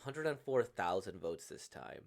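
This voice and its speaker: A man's voice: low-pitched, very animated, measured pace, creaky, background noise. A man giving casual playthrough commentary as a video host reacting to what he reads.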